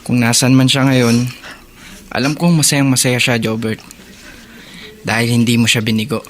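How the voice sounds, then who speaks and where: Man acting gentle, sincere Filipino older brother. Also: mid-range voice, flat delivery, normal speed, slight background noise, close to an everyday voice. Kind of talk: Tagalog radio drama acting. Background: background music.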